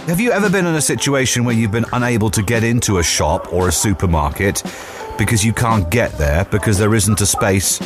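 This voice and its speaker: A man's voice: fairly low pitch, expressive, brisk, over music. A man hosting a radio phone-in show, questioning callers and guests in a chatty way.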